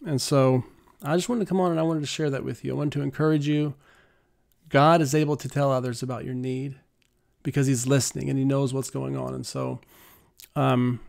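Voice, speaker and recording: A middle-aged man hosting a prayer livestream from his living room, speaking softly and earnestly into a studio mic, halting with many fillers. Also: medium pitch, vocal fry. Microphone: large studio mic on arm.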